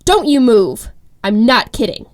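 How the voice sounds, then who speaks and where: Woman playing a defiant heroine, animated high voice. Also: unhurried. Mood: threatening. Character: defiant, vengeful young woman vigilante heroine. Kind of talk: radio drama acting.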